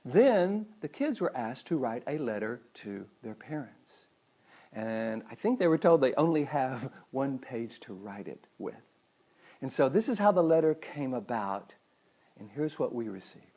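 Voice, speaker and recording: A man's voice: mid-range voice, sing-song, unhurried, warm tone. A middle-aged man giving a motivational talk as a host, with earnest, emphatic phrasing. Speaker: psychologist and speaker hosting a weekly show.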